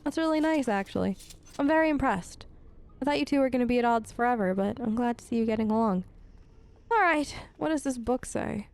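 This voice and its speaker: A woman's voice: on the high side, animated, a little fast, a little mellow, smooth. A woman giving casual, playful gameplay commentary, chatting and reacting aloud. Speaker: gamer hosting a role-play let's play.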